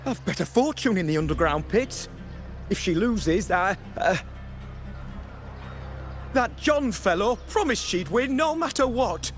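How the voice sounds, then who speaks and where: An actor playing an anxious, high-strung gambler in a video game. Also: male voice, animated, a little slow, pauses often, soft background music. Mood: panicky. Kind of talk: video game character acting.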